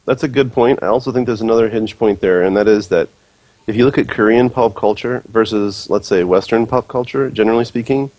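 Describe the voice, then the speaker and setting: A man's voice: lowish voice, a little fast, darkish tone, dry recording, rough recording. A man speaking earnestly and persuasively on a casual podcast, with many fillers. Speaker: podcast guest, league executive.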